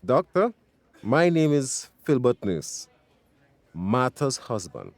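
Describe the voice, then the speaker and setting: A man's voice: medium pitch, sing-song, slow. A man playing a proud, confrontational estranged husband and father with a Tobagonian accent in a radio drama. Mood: concerned. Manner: assertive, threatening.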